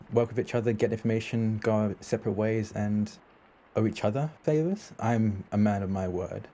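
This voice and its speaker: A man playing a composed vampire information broker who talks in a measured, persuasive, businesslike way in a tabletop game. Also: on the low side, mellow, close to an everyday voice.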